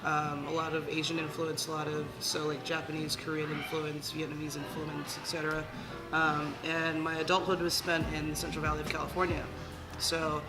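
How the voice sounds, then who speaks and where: A head chef from Hawaii speaks in a loose, rambling way, filling pauses with plenty of ums. His voice sits a touch high for a man, and loud music plays behind him throughout. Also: poor audio. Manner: relaxed, rambling with many ums. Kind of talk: casual interview. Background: loud background music.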